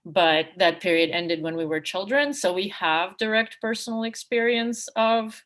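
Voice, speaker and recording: A woman from Russia speaking unscripted in an academic discussion, reflective and halting, with many hedging asides. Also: medium pitch, a bit bright. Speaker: book co-editor and panel speaker.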